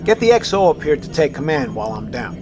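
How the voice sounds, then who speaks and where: A man voicing a weary submarine captain who gives his orders calmly and briskly, in a slightly higher register. Music plays clearly behind him in this audio drama. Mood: calm command. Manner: calm, commanding, brisk orders. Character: tired, decisive male submarine captain.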